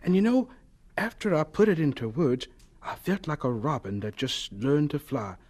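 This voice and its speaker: A humble young artist in an audio drama, gentle and earnest. His fairly high voice swings freely up and down, and he speaks with heartfelt hesitations. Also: expressive, normal speed. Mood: warm reminiscence.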